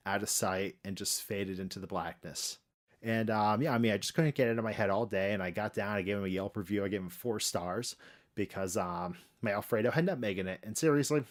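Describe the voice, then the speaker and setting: Man, fairly low voice, casual anecdote teller, emphatic and sweary delivery. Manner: emphatic, profane. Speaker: listener telling a personal ghost story. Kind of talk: casual spoken storytelling.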